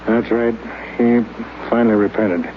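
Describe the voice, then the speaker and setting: A man's voice: on the low side, steady tone, a little slow, noisy background, close to an everyday voice. A man playing a world-weary old fat hero. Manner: hard-boiled, wry narration. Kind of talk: 1950s radio drama narration. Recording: muffled old radio broadcast with music.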